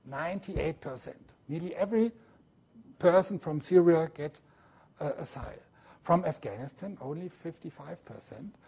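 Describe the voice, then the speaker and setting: German-accented man lecturing, husky and dark. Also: on the high side, lively intonation. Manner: halting non-native English, checks in with "yeah?". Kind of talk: academic lecture with slides.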